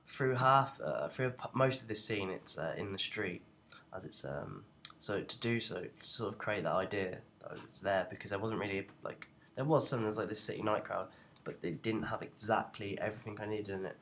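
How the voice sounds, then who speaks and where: A young man giving an informal software tutorial in a hesitant, halting way. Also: on the low side, a little hiss or hum. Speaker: student tutorial presenter.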